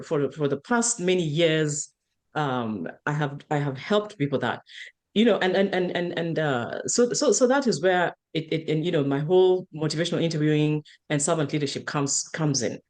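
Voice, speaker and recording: A woman's voice: low voice, animated, normal speed. Earnest Kenyan woman with many restarts. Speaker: counselor and trainer. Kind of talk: casual interview conversation.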